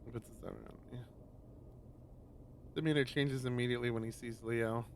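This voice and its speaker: A man streamer reading a visual novel aloud and adding chatty, animated asides. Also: mid-range voice, fairly quick, slight background noise.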